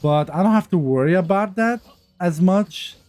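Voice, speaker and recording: Man, stuffy nose from a cold, casual gameplay chat, rambling with restarts, mellow tone, dry close mic. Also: high-pitched, moderate pace, dry recording, soft background music. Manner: rambling, many restarts and fillers. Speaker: gaming youtuber. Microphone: new mic, untuned.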